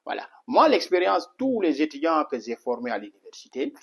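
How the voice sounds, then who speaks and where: A man's voice: on the high side, sing-song, brisk, a bit bright, breathy edge, lo-fi sound. A middle-aged man from Senegal, a martial arts instructor, answering in an interview with an earnest manner, full of restarts and filler words. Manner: earnest, repetitive, many restarts and "voilà" fillers.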